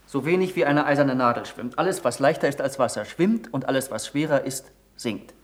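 An actor playing an eager, persistent young pupil of a scientist in a German radio play, earnest and precise. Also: male voice, medium pitch, animated, brisk, natural voice. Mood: reasoning.

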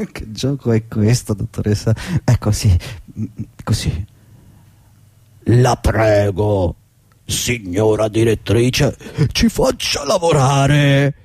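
A man's voice: fairly low pitch, lively intonation, takes it slowly, darkish tone, slightly rough, no room sound, heavily acted. A man playing an aggrieved, volatile employee of nearly fifty in a theatrical radio drama, agitated and swinging between deference and outburst. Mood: mock pleading.

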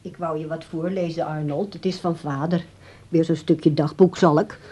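An actress playing an insistent, coaxing grown daughter in a radio drama. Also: female voice, low voice, steady tone, moderate pace, warm tone, husky. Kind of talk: radio drama dialogue and diary reading.

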